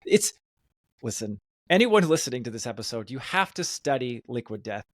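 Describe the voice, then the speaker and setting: A man's voice: mid-range voice, sing-song, normal speed, dry recording, clean studio sound. A man hosting a podcast, chatting casually in an emphatic, enthusiastic way. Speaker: podcast host.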